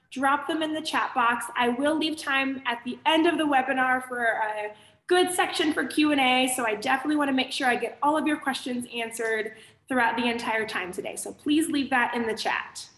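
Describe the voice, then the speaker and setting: A woman from Pittsburgh hosting a live teaching webinar, her voice hoarse and raspy from allergies, talking in an upbeat, chatty way. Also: sits high, a bit bright, reverberant. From Pittsburgh, USA. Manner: upbeat, chatty, often says "awesome". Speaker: webinar host and educator.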